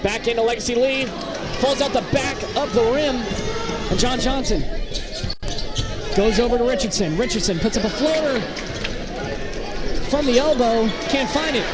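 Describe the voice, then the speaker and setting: A man doing live play-by-play basketball commentary in clipped, fragmentary calls. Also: very high-pitched. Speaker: play-by-play announcer. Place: indoor basketball gym, up behind a balcony. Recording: loud gym crowd and court noise. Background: crowd, bouncing basketballs.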